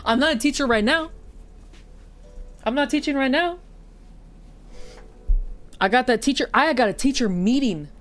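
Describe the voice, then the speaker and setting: A woman's voice: high-pitched, normal speed, pauses often, faint music behind. A woman streamer and teacher chatting casually and a bit stuffy-nosed, swearing and poking fun at herself. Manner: chatty, swears, self-deprecating. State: sniffly, stuffy nose. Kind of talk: casual chat while streaming.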